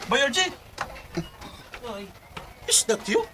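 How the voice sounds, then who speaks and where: An actor playing an excitable teenage school friend, teasing and laughing in a radio drama. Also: male voice, very high voice, takes it slowly, background noise, heavily acted. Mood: excited.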